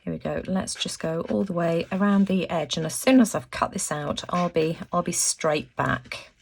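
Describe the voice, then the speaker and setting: A woman with a croaky voice, narrating a casual craft tutorial as host, chatting and thinking aloud. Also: fairly low pitch, normal speed.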